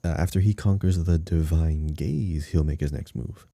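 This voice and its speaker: A man's voice: extremely low pitch, moderate pace, vocal fry, mellow, dry recording, studio quality. A man talking casually as a co-host on a gaming podcast.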